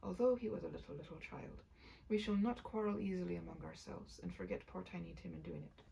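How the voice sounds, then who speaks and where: A woman voicing a kindly, earnest working-class father in a Victorian storybook, gentle and breathy. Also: fairly low pitch, steady tone, a little fast, distant mic, rough recording. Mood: tender, moved.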